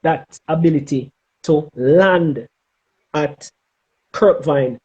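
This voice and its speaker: A middle-aged man with a Jamaican accent giving advice in an interview, speaking emphatically and deliberately and often checking in with "okay?". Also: on the high side, darkish tone, lo-fi sound. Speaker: interview guest, former air traffic controller.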